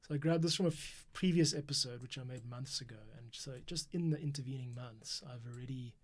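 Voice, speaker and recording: A man's voice: mid-range voice, a little fast. A man thinking aloud in casual commentary as he edits, muttering to himself. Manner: muttering to himself, humming and counting along. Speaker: podcast editor and host. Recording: loud music over the voice. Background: loud music, keyboard typing.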